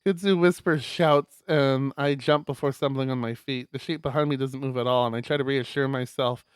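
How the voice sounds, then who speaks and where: A man streaming a visual novel, reading it aloud as expressive narration with casual asides. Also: medium pitch, normal speed, clear, resonant tone, no room sound, studio quality.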